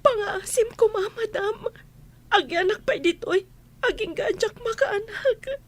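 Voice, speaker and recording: An actress with a Filipino accent playing a meek young housemaid in a radio drama, plaintive and pleading. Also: female voice, very high voice, unhurried. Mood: tearful, pleading.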